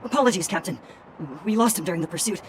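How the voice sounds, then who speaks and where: A man's voice: sits high, fast, noisy background, lightly acted. A man voicing a dutiful soldier guard in a video game, reporting in clipped military fashion. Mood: apologetic.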